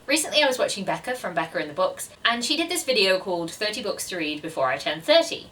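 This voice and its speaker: A woman's voice: mid-range voice, big pitch swings, fast, a bit bright, recorded from a distance, faint music behind. A woman in her twenties chatting casually to camera as a book vlogger, with a self-deprecating, chatty manner. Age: 20s.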